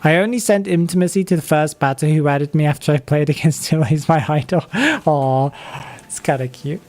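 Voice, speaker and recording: A man's voice: a little high, normal speed, a little mellow. A man in his twenties chatting casually on a live game stream, reading chat and laughing often. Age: 20s.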